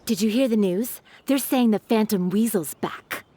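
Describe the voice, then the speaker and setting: A woman's voice: medium pitch, normal speed, warm tone, a little hiss or hum, natural voice. A woman voicing a chatty, teasing townswoman in a video game, sassy and conversational. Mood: gossipy, speculative.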